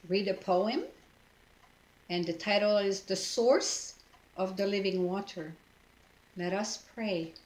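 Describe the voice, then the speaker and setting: An older woman in her sixties recites a prayer poem at an unhurried pace, her voice even and earnest. She is recorded through a laptop webcam in a home living room that rings with noticeable echo. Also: medium pitch. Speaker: church member. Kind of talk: reading a devotional poem and prayer.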